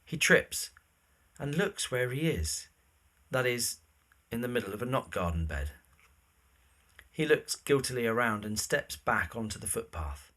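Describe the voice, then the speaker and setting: A man's voice: mid-range voice, lively intonation, unhurried, sharp tone. A man reading scripted present-tense narration for an audio drama.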